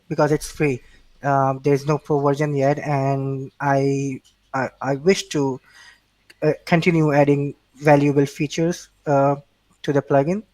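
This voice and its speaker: A Pakistani-accented man whose first language is Urdu talks in a slightly high voice. He stops and restarts his sentences often and fills the gaps with "uh" and "um." The recording is dry, with no room sound. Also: darkish tone, no room sound, low-quality recording. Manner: hesitant, many restarts and fillers. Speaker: interview guest. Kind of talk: casual remote interview.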